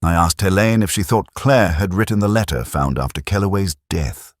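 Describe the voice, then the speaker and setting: A man narrating a literary detective story in the first person, in a measured, reflective and solemn manner. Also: low-pitched, no room sound, clean studio sound.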